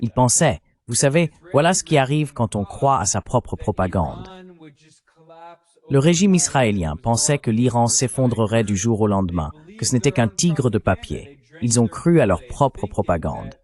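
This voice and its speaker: A man's voice: mid-range voice, very animated, moderate pace, darkish tone. A man delivering political commentary as an analyst, in an emphatic, argumentative way.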